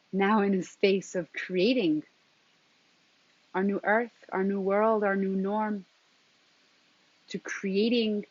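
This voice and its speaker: Husky-voiced woman giving a spiritual pep talk. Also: medium pitch. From New York, of Colombian background. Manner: deliberate, in short broken phrases with emphatic repetition. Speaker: transformative coach and intuitive guide. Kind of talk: motivational spiritual talk to camera. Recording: dull, lo-fi sound.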